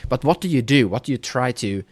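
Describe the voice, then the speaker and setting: A man's voice: mid-range voice, lively intonation, a little fast, slightly rough, dry recording. Man running a tabletop game, dry sound. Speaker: game master. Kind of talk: improvised tabletop game narration.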